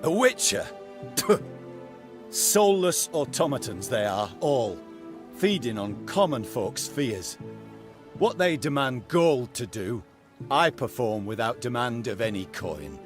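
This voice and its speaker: An actor playing a zealous, chivalrous knight-errant in a game, declaiming pompously in archaic phrases. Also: male voice, mid-range voice, very animated, slow. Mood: scornful. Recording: music under the voice.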